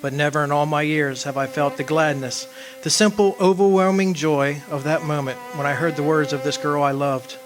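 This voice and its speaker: A man acting in a radio drama as a wistful, earnest man devoted to his lost young love, speaking in deliberate phrases. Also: a little high, music in the background, lightly acted, rough recording. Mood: wistful, grieving.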